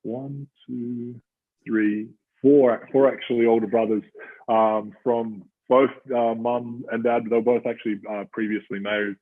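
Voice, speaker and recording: A thirty-year-old Australian man, a rugby player, talking as an interview guest in a halting way with plenty of fillers. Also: medium pitch, steady tone. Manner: halting, filler-heavy storytelling. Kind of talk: casual interview chat. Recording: tinny phone-like line.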